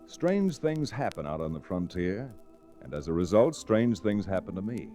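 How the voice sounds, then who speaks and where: Radio Western lead: genial American frontier lawyer narrating, lowish mellow male voice, very expressive, folksy. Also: faint music behind. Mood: genial, wry. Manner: folksy, unhurried storytelling. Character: easygoing, genial male frontier lawyer telling his tale. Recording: restored vintage radio recording.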